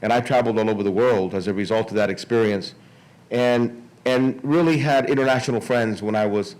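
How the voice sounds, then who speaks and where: A man in his sixties speaks to a conference in an informal way. His voice sits a little low. He moves haltingly through his remarks, doubling back on words and restarting phrases. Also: a little mellow, low-quality recording. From Miami, United States. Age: 60s. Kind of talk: informal public speech.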